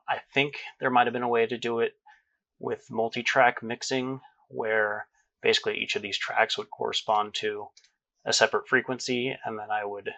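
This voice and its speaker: Low-voiced man explaining a DIY project. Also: sharp tone. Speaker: presenter of a DIY electronics project. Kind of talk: tutorial narration and screen demonstration.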